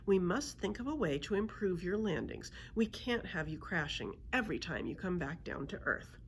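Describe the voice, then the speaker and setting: A woman voicing a brisk, firm, practical old grandmother who gives decisive instructions in a children's storybook. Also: on the low side, lively intonation, slight background noise.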